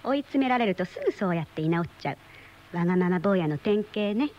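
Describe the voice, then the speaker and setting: An actress playing a teasing, sharp-eyed wife in a radio drama, mocking and knowing as she scolds. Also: lowish voice, expressive, quick pace, close to an everyday voice. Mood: teasing, accusing. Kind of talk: Japanese radio drama dialogue. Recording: muffled old radio drama recording.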